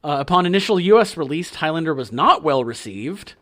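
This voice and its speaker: Lively man reading film facts. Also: on the high side, a little fast. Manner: reads notes with fillers, laughs along. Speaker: podcast co-host. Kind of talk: casual chat, reading facts aloud.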